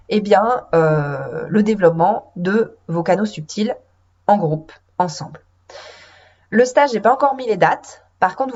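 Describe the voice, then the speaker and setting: Casting: French woman, podcast host, husky and stuffy with a cold, hesitant with lots of fillers, slightly muffled lo-fi sound. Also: medium pitch, a little mellow. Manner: hesitant, many fillers and restarts. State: has a cold. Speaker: podcast host and intuition coach. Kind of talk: solo podcast monologue. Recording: dull lo-fi podcast audio.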